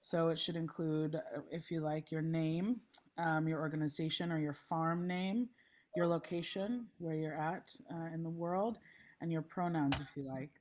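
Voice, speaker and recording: Low-voiced hoarse woman on a video call. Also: steady tone, normal speed, a little mellow, a little hiss or hum. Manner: friendly, chatty, lots of ums. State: slightly hoarse. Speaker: session facilitator. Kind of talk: online meeting facilitation. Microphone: laptop mic, then headphones.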